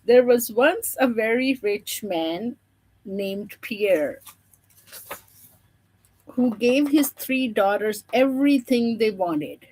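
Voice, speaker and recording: A woman's voice: fairly high pitch, lively intonation, slow, long pauses, a little mellow, slight background noise, low-quality recording. A woman storyteller reading a children's picture book aloud, with informal, chatty asides to her listeners.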